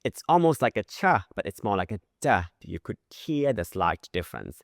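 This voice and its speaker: A man teaching a language lesson who says sounds slowly and repeats them as examples. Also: fairly low pitch, big pitch swings, gravelly, no echo, clean studio sound. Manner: modeling pronunciation with drawn-out sounds.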